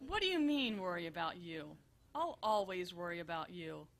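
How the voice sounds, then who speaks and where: A woman's voice: fairly low pitch, big pitch swings, normal speed, heavy background noise. A woman playing an earnest, busy and exasperated grown daughter in a live comedic radio show, with emphatic theatrical delivery. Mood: insistent. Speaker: stage performer in a benefit show.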